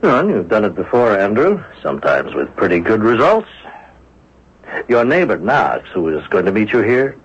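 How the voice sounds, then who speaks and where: Deep, swooping, wry police inspector. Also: male voice, wildly swooping pitch, moderate pace, slightly rough, exaggerated voice. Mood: musing. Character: skeptical, dry police inspector. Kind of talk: radio drama acting. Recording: muffled radio recording with hiss.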